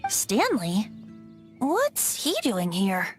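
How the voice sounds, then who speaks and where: A woman's voice: medium pitch, huge pitch swings, drawn-out words, full character voice. A woman voicing a youthful, playful boy bard in a video game, with lilting, theatrical storytelling. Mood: distracted. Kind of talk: English game dub voice acting. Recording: music under the voice.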